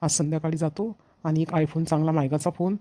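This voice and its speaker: Man acting an eager, fast-talking young bridegroom. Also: fairly high pitch, fairly even intonation, dark tone, close to an everyday voice. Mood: musing, eager. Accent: Vidarbha Marathi. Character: eager young village bridegroom, a dutiful son. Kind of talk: amateur cartoon dialogue.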